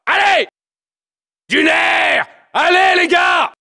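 An actor playing a fierce soldier who barks urgent, commanding battlefield announcements in a military game. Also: male voice, very high voice, lively intonation, extremely slow, gravelly, a faint crowd, exaggerated voice. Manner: shouting, commanding.

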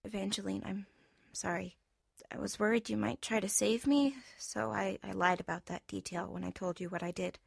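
An actress in an audio drama playing a stubborn, self-sacrificing young medical student with an earnest, halting delivery. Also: female voice, mid-range voice, steady tone, gravelly, slightly rough, no room sound, clean studio sound. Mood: remorseful.

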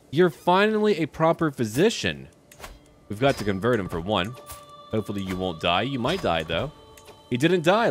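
A man giving let's play commentary on a strategy game, talking to himself and addressing his characters as their ruler. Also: low-pitched. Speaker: video game player and narrator.

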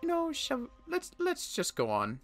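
A young man playing a weary survivor in a Minecraft roleplay, talking in halting bursts with sighs and restarts. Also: extremely high pitch, wildly swooping pitch, fairly bright tone, faint music behind. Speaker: roleplay player and channel host.

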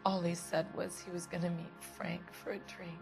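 Anxious, prim American wife, music behind. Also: female voice, lowish voice, level tone, moderate pace, vocal fry. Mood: guarded, worried. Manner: defensive, easily affronted. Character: prim, anxious, indignant wife of a missing man. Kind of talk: dramatic game cutscene acting.

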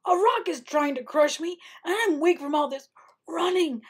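A woman voicing a selfish, whiny male coyote in a storybook tale, with loud, dramatic pleading and yelping. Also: mid-range voice, expressive, normal speed, creaky, breathy edge, exaggerated voice. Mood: pleading, flattering.

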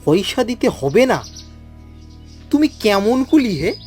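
A man voicing a self-important gentleman doctor in a cartoon, in an exaggerated manner. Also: high-pitched, sing-song, slow pace, faint music behind, full character voice. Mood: puzzled. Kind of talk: cartoon voice acting.

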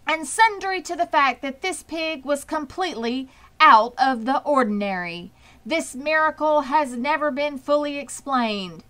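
A woman voicing a pompous, grandiose fairground loudspeaker announcer in a children's storybook, projecting and declaiming. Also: sits high, voice pushed up.

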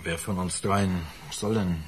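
Eher tiefer, bodenständiger Monteur. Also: male voice, low-pitched, fairly even intonation, takes it slowly, a little hiss or hum. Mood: worried, pleading. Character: worried, down-to-earth lift fitter over forty. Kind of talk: radio drama acting.

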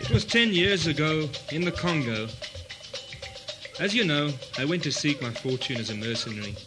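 A man's voice: fairly high pitch, animated, normal speed, over music, close to an everyday voice. Melodramatic, pompous adventurer played by a man. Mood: solemn, weary. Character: theatrical, aggrieved adventurer confessing his past. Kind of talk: comic radio melodrama.

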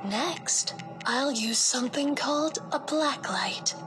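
Woman doing a sweet cartoon mom, high voice, talks slow n explains stuff, loud music under it. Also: expressive. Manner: gentle endearments, explains step by step. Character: doting, calmly instructive mother. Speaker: voice actor in a fan-made animated story. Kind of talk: acted motherly storytelling dialogue.

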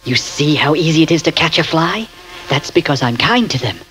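A man as a wheedling, excitable male asylum inmate for a radio play, creepy, voice swooping high and low. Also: brisk, background noise, close to an everyday voice. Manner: wheedling, creepy, lurching between whispers and outbursts. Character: excitable male asylum patient obsessed with insects. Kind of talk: 1970s radio drama.

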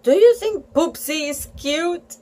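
A gushing little sister from a storybook, acted out by a woman, high-pitched and swooping. She squeals with excitement and stretches her words out slowly. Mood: playful.

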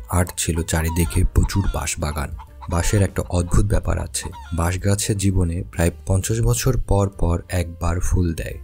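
A man narrating an educational history piece in a calm, explanatory storytelling manner, as a scripted voice-over. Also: low-pitched. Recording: voice-over with faint background music.

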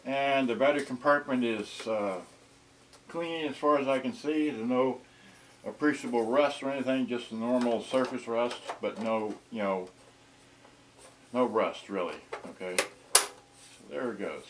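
A man in his sixties gives an off-the-cuff demonstration in a mid-range voice. He talks haltingly, half to himself, while handling things. The camera microphone picks him up from a short distance, with clear noise in the room. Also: mid-range voice. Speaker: vintage electronics unboxing vlogger. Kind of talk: casual off-the-cuff demonstration talk. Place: small cluttered indoor storage room.

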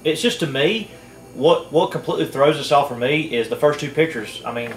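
A man's voice: on the high side, a little fast, faint music behind. Skeptical young man in a roomy room. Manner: skeptical, hedging, thinking aloud with many fillers. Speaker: co-host. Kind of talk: casual video analysis chat. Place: small indoor room at a table.

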